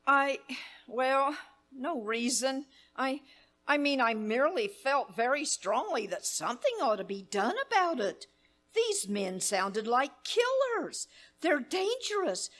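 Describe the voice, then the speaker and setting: A woman's voice: high voice, sing-song, slow, a bit bright, heavily acted. A woman playing a demanding, frantic wealthy woman who scolds the telephone operators, in an imperious, fretful voice, in a live staging of an old-time radio play. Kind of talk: live radio play staged as 1930s radio acting.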